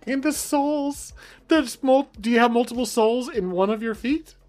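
A man hosting a podcast in casual comedic chat, animated and quick to laugh. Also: very high voice, faint music behind. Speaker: podcast host.

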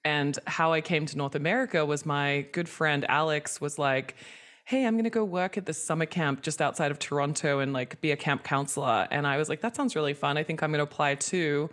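A woman's voice: deep voice, brisk, fairly bright tone. A woman from Hong Kong and Australia speaking candidly and casually as an interview guest, with a chatty, informal way of talking. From Australian who grew up in Hong Kong. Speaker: podcast guest, entertainment executive and writer.

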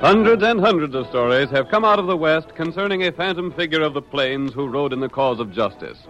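A man's voice: a little high, expressive, fairly quick, fairly bright tone, soft background music. A man narrating a scripted 1940s radio western in a grandiose, declamatory announcer style. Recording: dull, lo-fi old radio recording.

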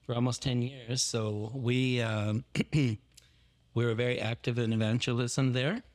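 A man's voice: mid-range voice, steady tone, unhurried. A man who plants churches as a missionary, telling his story in a podcast interview with many fillers and restarts.

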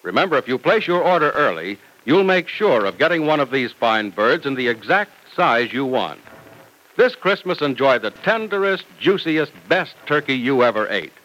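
A man's voice: medium pitch, sing-song, normal speed, fairly bright tone, rough recording. A man announcing in polished, emphatic 1940s radio style, with scripted narration and a salesman's delivery.

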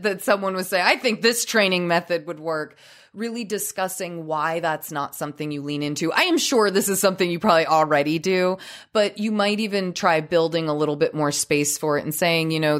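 A woman with a notably low voice co-hosts an advice podcast. She is chatty and laughs often. She restarts and rephrases her thoughts as she works through them. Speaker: podcast co-host.

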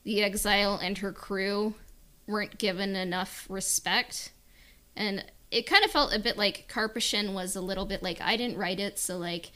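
Woman podcast panelist just chatting, medium pitch, kinda hesitant and hedging, very informal. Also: steady tone, moderate pace, sharp tone. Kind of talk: casual chat, opinion on a book.

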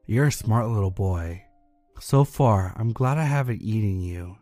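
A man's voice: on the low side, lively intonation, unhurried, warm tone, no echo, soft background music. A man voicing a hissing, snake-like Christmas monster. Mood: menacing. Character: thin hissing male Christmas monster, sinister.

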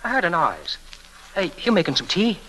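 An actor playing a cocky, unstable young murderer in an old-time radio thriller, insinuating and halting, repeating himself and swinging from soft to frantic. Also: male voice, sits high, huge pitch swings, exaggerated voice, rough recording. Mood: sly, probing. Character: cocky, unstable young murderer, a former hotel page boy.

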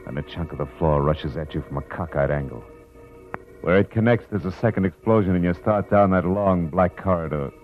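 A man's voice: low voice, a little fast, close to an everyday voice. A man with an American accent playing a tough, weary, wisecracking private detective in a mid-century radio noir drama. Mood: world-weary. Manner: wry, clipped, hardboiled. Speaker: lead actor and narrator. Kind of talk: 1940s-50s radio noir acting, hardboiled narration. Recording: old muffled radio recording with music behind.

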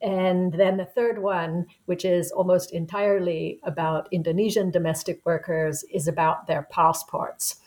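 Low-voiced woman in her sixties, reflective. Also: steady tone, a little mellow. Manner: reflective, unhurried storytelling. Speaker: guest author, anthropology professor. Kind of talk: conversational interview answer.

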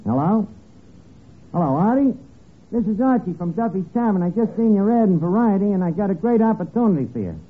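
Archie, brash high-pitched New York wiseguy. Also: male voice, sing-song, fairly quick, husky, full character voice. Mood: breezy hustling. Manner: slangy, malapropism-prone, streetwise patter. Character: Archie, brash wisecracking male tavern manager, streetwise and unschooled. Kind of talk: 1940s radio comedy acting. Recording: tinny, noisy old radio broadcast, audience behind.

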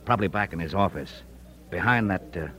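An actor playing a wry, defiant American frontier editor with a folksy manner in an old radio Western. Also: male voice, sits low, a little fast, a bit bright. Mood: folksy recounting. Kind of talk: old-time radio Western drama acting.

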